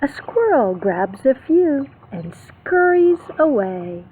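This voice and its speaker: A woman preschool teacher reading a picture book aloud to young children, expressive, with simple explanations and questions. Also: high voice, slow, breathy edge. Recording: phone-like narrow band, faint background noise.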